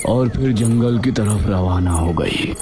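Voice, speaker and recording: A man's voice: on the low side, takes it slowly. A man narrating a scripted dramatic village story. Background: background music.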